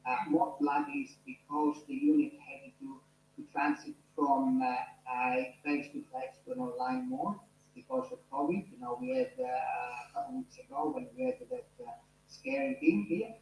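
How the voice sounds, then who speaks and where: A man's voice: very high-pitched, animated, moderate pace, vocal fry, a little mellow, echoey room, a little hiss or hum, rough recording. A man with an Italian accent, a university lecturer speaking to his online class in an earnest way, with many fillers and restarts. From Italy.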